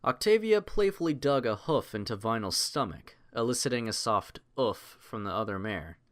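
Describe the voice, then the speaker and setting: A man narrating a scripted audiobook in a theatrical, measured storytelling manner. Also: mid-range voice, lively intonation, fairly bright tone.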